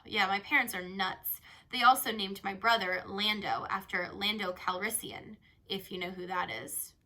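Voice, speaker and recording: A woman's voice: mid-range voice, normal speed, pushed and bright. A woman voicing a shy, self-conscious, reserved schoolboy in a children's storybook. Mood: wry. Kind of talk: storybook character reading.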